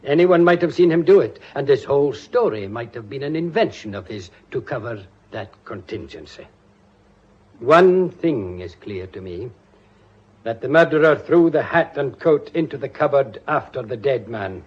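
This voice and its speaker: A shrewd British police inspector, with a husky edge and lively, swooping intonation. He is courteous, formal and drily theatrical, as in a radio play. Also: mid-range voice. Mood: wry, deliberate. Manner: deliberate, formal, wry. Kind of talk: radio drama acting. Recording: dull, muffled radio drama audio.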